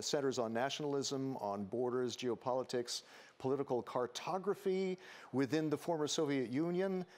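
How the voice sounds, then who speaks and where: A man's voice: mid-range voice, a little mellow. Hesitant American man moderating a panel. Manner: hesitant, upbeat, many fillers.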